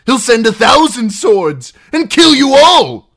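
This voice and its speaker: A shrill, cowardly pleading lordling. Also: male voice, lively intonation, slow, fairly bright tone, full character voice. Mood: desperate bargaining. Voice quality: shrill, squealing. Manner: frantic, stammering, self-excusing. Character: cowardly, pleading captive lordling, adult man, whining and shrill. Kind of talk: audiobook character acting.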